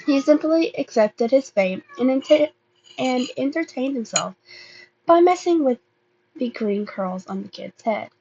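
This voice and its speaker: A woman reading fan fiction aloud as an amateur narrator, halting and often stumbling over and repeating words. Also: high-pitched, animated, darkish tone, highs cut off. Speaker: amateur podfic reader.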